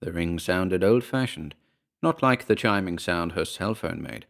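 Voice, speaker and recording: Low-voiced male horror story narrator. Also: animated, measured pace, gravelly, a bit bright.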